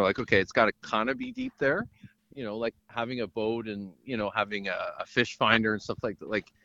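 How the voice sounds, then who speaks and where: A man's voice: medium pitch, sharp tone. A man being interviewed who rambles and stops and starts in casual chat. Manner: rambling, halting, with laughs. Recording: dull, lo-fi sound.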